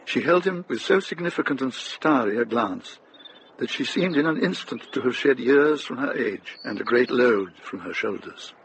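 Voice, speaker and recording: An actor narrating as a grave, measured storyteller in a scripted radio drama. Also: male voice, medium pitch, lively intonation, slight background noise, close to an everyday voice, poor audio. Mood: tender, wistful.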